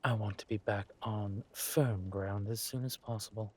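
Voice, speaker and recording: A man playing a suave, ruthless villain lord in an audio drama, mock-polite and menacing, with a husky voice and drawn-out delivery. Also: fairly low pitch, fairly even intonation. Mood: tender, assured.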